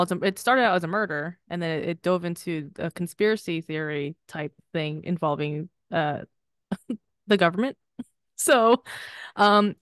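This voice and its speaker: A young woman co-hosting a podcast in loose, rambling chat. She swears freely and keeps breaking into laughter. Her voice sits a little low, and the room around her sounds dry. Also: clear, resonant tone, no echo. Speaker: podcast co-host. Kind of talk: casual chat.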